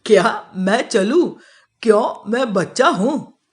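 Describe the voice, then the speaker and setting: Woman doing a skeptical, lowered-voice father. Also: lively intonation, slow pace. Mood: amused, teasing. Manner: doubting, scoffing, questioning. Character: skeptical middle-aged father, teasing, gruffly affectionate.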